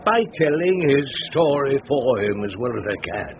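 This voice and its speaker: An older English barrister in a radio play, wry and argumentative. His delivery is theatrical and animated, swinging widely in pitch, with drily ironic turns and fond endearments. The sound is slightly muffled, like a lo-fi broadcast. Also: moderate pace. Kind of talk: British radio drama acting.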